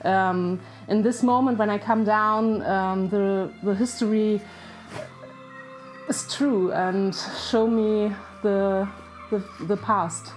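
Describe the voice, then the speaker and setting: A woman's voice: mid-range voice, fairly even intonation, slow pace, faint music behind, rough recording. A woman from Germany, a native German speaker, enthusiastically describing wreck diving in simple non-native English. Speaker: wreck diver. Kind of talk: interview answers.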